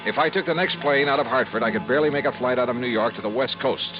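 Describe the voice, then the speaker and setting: Actor doing a wry hard-boiled detective, clipped narration, muffled old radio sound, music under it. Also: male voice, mid-range voice, lightly acted. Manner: wry, clipped, fast-talking, hesitant when flirting. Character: wry hard-boiled male insurance investigator. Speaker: narrator and lead. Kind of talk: 1950s radio drama narration.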